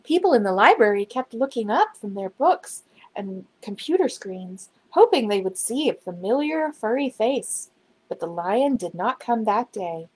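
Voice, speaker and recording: A woman reading a children's storybook aloud in an expressive, dramatic storytelling manner. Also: on the high side, unhurried, slightly rough, low-quality recording. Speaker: children's book reader. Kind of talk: storybook narration, read aloud.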